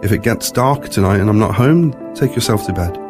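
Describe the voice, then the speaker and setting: A man's voice: lowish voice, expressive, brisk, music in the background. A man with a faint Scottish accent telling his personal story as an interview guest, in a reflective, conversational way. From born in Scotland, moved to South Africa at three.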